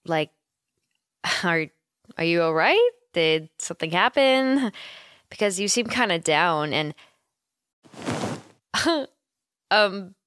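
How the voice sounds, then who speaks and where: A woman's voice: medium pitch, no echo. A caring young woman roommate, acted by a woman in an ASMR roleplay, recorded close and dry. Her intonation swings freely as she coaxes and teases her friend, hesitant at times and playful. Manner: playful, gently coaxing, giggly, hesitant. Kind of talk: ASMR roleplay, intimate one-sided conversation.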